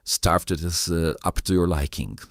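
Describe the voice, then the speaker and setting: Deep-voiced man in halting interview chat. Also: steady tone, no room sound, studio quality. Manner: halting, repeats and rephrases words. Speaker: interviewer, podcast host.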